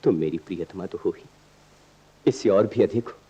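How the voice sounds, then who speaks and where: A man's voice: low-pitched, moderate pace, slight background noise, natural voice. An actor playing an earnest, idealistic young man in a radio play, speaking in lofty, poetic, declamatory lines. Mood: ardent, romantic. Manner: lofty, declamatory, literary diction. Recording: dull archival radio recording.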